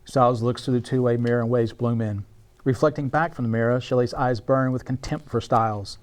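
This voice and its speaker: Mid-pitched man narrating a screenplay. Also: fairly even intonation, fairly quick. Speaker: narrator reading stage directions. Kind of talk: scripted narration at a live table read.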